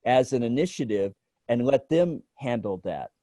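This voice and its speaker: An American man giving informal advice on a conference call, phrasing his points haltingly. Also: mid-range voice, lively intonation, warm tone, no echo. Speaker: featured guest consultant. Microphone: video call microphone.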